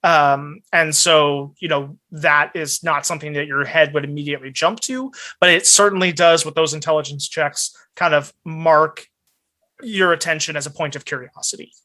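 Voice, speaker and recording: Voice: medium pitch, fairly even intonation, moderate pace, bright, forceful tone. A game master narrating a tabletop game in a conversational, explanatory way.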